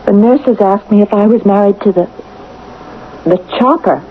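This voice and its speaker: A woman's voice: mid-range voice, normal speed, natural voice. An actress playing a devoted, reproachful young woman in a 1970s radio drama, earnest and emotional. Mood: reproachful, pleading. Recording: tinny, noisy vintage radio broadcast.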